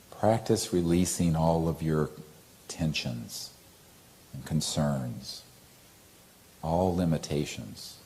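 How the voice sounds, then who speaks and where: A man gently and calmly leading a guided meditation as a teacher, in a soothing way. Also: a bass voice.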